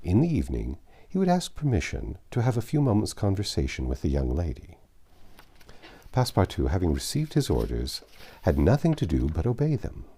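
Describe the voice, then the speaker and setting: A man narrating a classic novel in an expressive, measured style with dramatic pauses. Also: low voice, slightly rough. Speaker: audiobook narrator. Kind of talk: expressive literary storybook narration.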